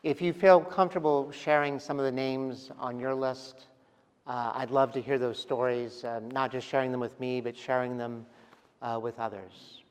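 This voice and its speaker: A man preaching a sermon as pastor, speaking gently and reflectively with pauses and soft trailing endings. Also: mid-range voice, steady tone, clean tone. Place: large church sanctuary. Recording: long church reverb.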